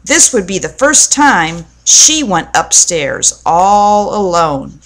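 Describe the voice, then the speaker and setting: Slow-paced woman reading a children's story. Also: fairly low pitch, big pitch swings, a bit bright. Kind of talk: read-aloud storytelling to children.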